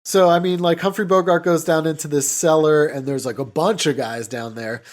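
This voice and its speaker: A man's voice: a little high, fairly even intonation, moderate pace. A man co-hosting a podcast, recapping a film in loose, informal, sweary chat and offhandedly paraphrasing what characters say. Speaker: podcast co-host. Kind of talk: casual chat recapping and mocking an old film.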